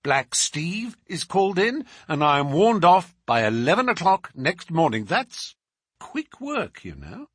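A man's voice: on the high side, big pitch swings, a little slow, fairly bright tone, slightly rough, no room sound, studio quality, pitched above the performer's own voice, exaggerated voice. A man playing a shrewd detective in an audiobook, teasingly polite and commanding, with precise, probing questions. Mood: brisk, severe.

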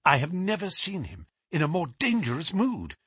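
A man's voice: on the high side, wildly swooping pitch, moderate pace, fairly bright tone, breathy edge, no echo, clean studio sound, pitched above the performer's own voice, full character voice. A man voicing an earnest, anxious, deferential assistant in a storybook reading, respectful and pleading. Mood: apologetic, worried. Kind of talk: dramatised audiobook reading.

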